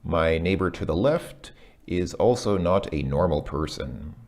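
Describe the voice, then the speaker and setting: Man, very deep voice, hesitant, lots of ums, casual lesson commentary. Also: expressive, measured pace, a little mellow. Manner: hesitant, full of ums and self-corrections. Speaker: language learner reviewing a lesson. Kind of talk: casual thinking-aloud commentary.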